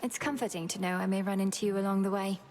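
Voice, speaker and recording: A woman's voice: medium pitch, fairly even intonation, fast talker, a little mellow, close to an everyday voice. Woman as an earnest young witch over music. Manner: formal, earnest. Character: earnest young witch princess, dutiful and determined. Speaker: actor voicing a game character. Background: game music.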